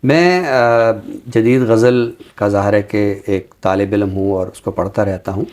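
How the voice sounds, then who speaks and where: Measured man giving reflective social commentary. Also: fairly low pitch, fairly even intonation, darkish tone. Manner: measured, deliberate, explanatory; earnest, literary vocabulary. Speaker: talk show presenter and commentator on society and literature. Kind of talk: reflective spoken commentary in a talk show.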